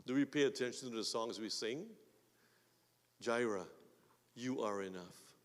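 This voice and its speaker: A man with a Guyanese accent preaching a sermon as pastor, swinging between quiet asides and loud emphatic bursts. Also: medium pitch, measured pace. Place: church sanctuary. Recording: long hall reverb.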